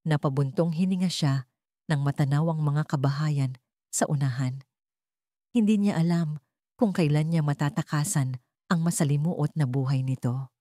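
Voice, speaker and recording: A woman's voice: low-pitched, mellow, dry recording, studio quality. A woman reading scripted dramatic fiction as a storyteller. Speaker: story narrator.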